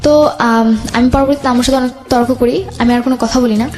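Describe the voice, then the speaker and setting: A woman's voice: fairly high pitch, steady tone, fast, a little mellow. A young woman with a Bangladeshi accent telling a personal story from her own life as a guest. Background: background music.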